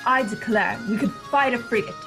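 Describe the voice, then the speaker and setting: A woman playing a pompous, excitable country squire in a radio play, with emphatic old-fashioned diction. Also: fairly high pitch, moderate pace, vocal fry, over music. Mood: proud, enthusiastic.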